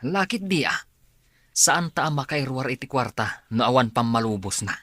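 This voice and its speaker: A man's voice: medium pitch, sing-song, normal speed, fairly bright tone, no room sound, studio quality. A man with an Ilocano Filipino accent playing a cunning accomplice in a drama. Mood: sly. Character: cunning male accomplice plotting a swindle. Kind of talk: TV drama acting.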